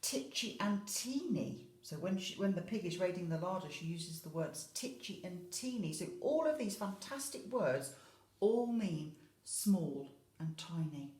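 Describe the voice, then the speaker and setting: Echoey, lower-pitched British woman teaching young children. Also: measured pace. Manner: expressive, emphatic on key words, addressing children with tag questions. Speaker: infant school teacher. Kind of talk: educational talk to young children about a picture book. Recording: roomy, echoey home-style recording.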